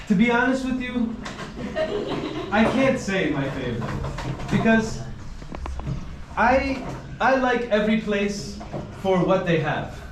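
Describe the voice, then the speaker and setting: A travel vlogger talking to a class of students in a school, animated and laughing often, using simple clear wording. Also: male voice, high voice, noisy background. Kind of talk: casual talk to a class. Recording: echoey room, from a distance.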